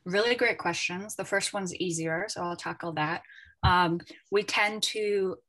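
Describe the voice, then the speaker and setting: A woman's voice: lowish voice, measured pace, a bit bright, poor audio. A woman speaking as an editor on a panel, informal and reflective, feeling her way through her thoughts in conversation. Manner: reflective, informal, tentative phrasing. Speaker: editor, panel guest at a literary festival.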